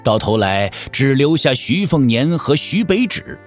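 A man's voice: mid-range voice, very animated, measured pace. A man narrating a martial-arts audio drama in a storytelling style. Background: soft background music.

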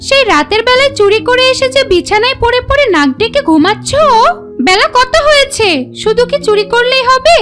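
A woman voicing a short-tempered vixen wife and mother in a children's cartoon, nagging in a shrill, exaggerated way. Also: lively intonation, brisk, a bit bright, faint music behind. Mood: scolding.

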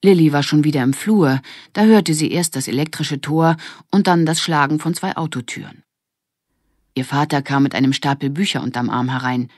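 A woman's voice: low-pitched, normal speed, darkish tone, no echo, studio quality. A woman reading a scripted children's story as an audiobook narrator.

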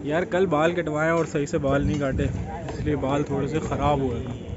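A young man giving a relaxed, chatty walkthrough of a buffet, filming himself on a phone at arm's length. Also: a little high, normal speed, heavy background noise. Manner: relaxed, chatty, pointing things out as he walks. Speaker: food vlogger. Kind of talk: casual walkthrough vlog. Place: outdoor buffet at a waterfront restaurant. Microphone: phone camera held at arm's length. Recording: dull lo-fi sound with crowd chatter.

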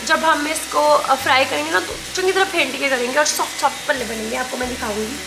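A woman's voice: sits high, a little fast. A woman, chatting casually as she cooks and slipping English words into her talk. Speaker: vlogger and home cook. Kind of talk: casual chat while cooking, mixing in English. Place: home kitchen, indoors. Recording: echoey kitchen with clear appliance noise.

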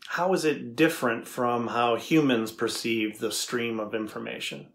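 A middle-aged man playing an earnest, curious AI researcher in a dramatized reading, asking questions deliberately. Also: mid-range voice, steady tone, a bit bright.

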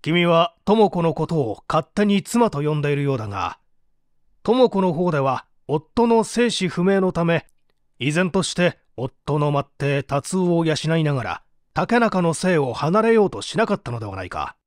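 An actor playing a composed male prosecutor who questions a suspect in a measured, formal and probing way, in a radio drama. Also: fairly high pitch, sing-song, no echo, studio quality. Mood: stern questioning. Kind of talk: dramatized mystery reading.

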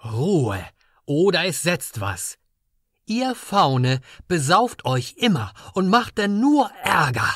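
A man voicing a gruff, commanding guard in a fantasy audio drama, stern and threatening. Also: fairly high pitch, big pitch swings, takes it slowly, a bit bright, dry recording, clean studio sound, full character voice. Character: gruff, threatening male guard scolding drunken fauns.

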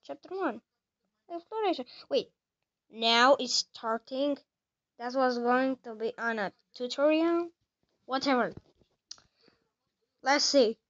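High-voiced young woman gaming. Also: animated, dull audio. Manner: halting, talks to herself while playing. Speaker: gamer playing a horror game. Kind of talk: casual let's-play commentary.

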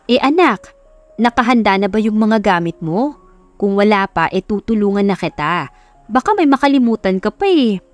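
A woman's voice: medium pitch, big pitch swings, moderate pace, no echo, lightly acted. A woman voicing a tender, solicitous middle-aged mother in an audiobook love story. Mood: caring. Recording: music under the voice.

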